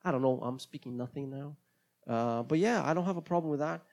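Gravelly-voiced Brazilian man rambling casually. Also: mid-range voice, a little fast, darkish tone. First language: Portuguese. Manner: hesitant, rambling, many restarts. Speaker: podcast host. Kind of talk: casual solo podcast monologue.

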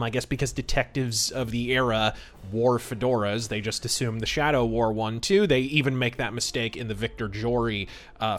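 A man's voice: medium pitch, fairly bright tone. A man hosting a podcast and giving conversational, detailed film commentary with a wry manner. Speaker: podcast host.

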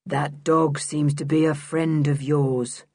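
A woman voicing a sullen, menacing male servant in a deliberate monotone for a children's storybook. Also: sits low, no room sound, exaggerated voice. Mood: cold, menacing.

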